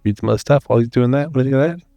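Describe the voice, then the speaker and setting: Mid-pitched man bantering on a game stream. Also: racing, gravelly, dark tone, no room sound, faint music behind. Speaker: player in a charity gaming marathon.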